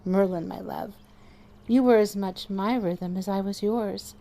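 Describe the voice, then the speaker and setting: A woman's voice: mid-range voice, steady tone, a little slow, dark tone, lightly acted. A woman playing the ghost of a loving dead wife in a horror audio drama, tender, solemn and eerily persuasive. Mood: tender sorrow.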